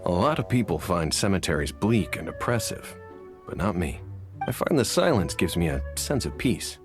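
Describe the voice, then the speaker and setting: A calm, deep-voiced cemetery mourner. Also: male voice. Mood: musing. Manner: calm, musing, measured. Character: calm man who finds peace in cemeteries, reflective. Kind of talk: video game voice acting. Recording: game audio with music behind.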